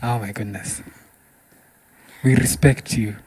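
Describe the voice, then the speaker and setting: A man's voice: mid-range voice, fairly even intonation, takes it slowly, darkish tone, slightly rough. A man with a Kenyan accent hosting a music talk show in casual, bantering style with exclaiming reactions. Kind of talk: casual bantering interview, switching between English and Swahili.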